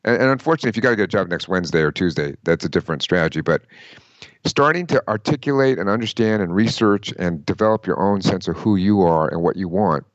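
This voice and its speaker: A man giving career advice in an interview, emphatic and lively, often quoting people in mock voices. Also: low-pitched, quick pace, no room sound, highs cut off. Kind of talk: conversational interview.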